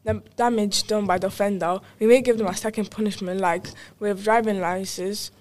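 A woman's voice: mid-range voice, fairly even intonation, moderate pace, a little mellow, lightly acted. A woman playing an earnest local councillor in an amateur radio drama. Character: earnest local councillor promising tough climate laws.

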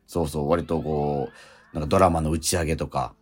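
A man, middle-aged, hosting a radio show in a casual, reflective way, thinking aloud in halting phrases. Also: extremely low pitch, steady tone.

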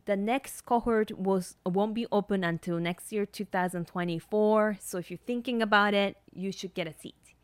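A woman in her thirties with a Japanese accent talks earnestly on her solo podcast, leaning on ums and you-knows as she goes. Her voice is smooth and clear at a middle pitch, and it sounds close and dry with no room around it. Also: normal speed, hardly any pauses, no echo. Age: 30s. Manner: earnest, many fillers like um and you know. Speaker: nurse practitioner and podcast host.

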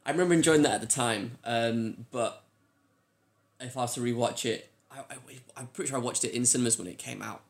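A man with an average-pitched voice chats as co-host of a pop culture show. His delivery is informal and conversational, and he phrases his views tentatively. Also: fairly quick, gravelly. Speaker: podcast co-host. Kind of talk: casual pop culture chat.

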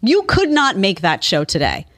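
A woman's voice: mid-range voice, wildly swooping pitch, normal speed, fairly bright tone. A woman hosting an opinion show, delivering a sarcastic, incredulous, emphatic monologue. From Italian family background. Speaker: show host.

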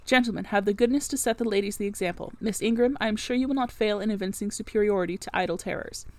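Woman playing a commanding, teasing English gentleman. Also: medium pitch, fast talker, lightly acted. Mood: composed, commanding. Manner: imperious, formal old-fashioned phrasing.

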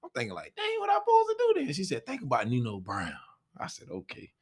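A man's voice: a little high, fast, husky. An American man telling anecdotes casually in a group chat, acting out what people said as he goes. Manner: animated anecdotes, quoting others' dialogue. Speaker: stage play cast member. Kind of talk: casual storytelling in a group livestream.

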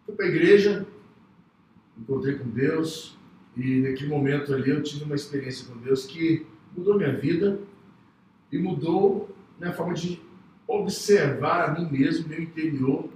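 Man, psychologist guest, earnest and halting, slightly high voice, echoey room heard from afar. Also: recorded from a distance, a little hiss or hum. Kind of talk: personal testimony in casual talk.

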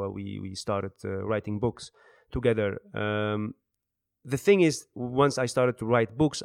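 A man in his thirties from Iraq and the Netherlands, answering interview questions in a halting, word-searching way. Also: medium pitch, a little mellow, clean tone, no room sound, studio quality. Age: 30s. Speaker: interview guest, author and business speaker.

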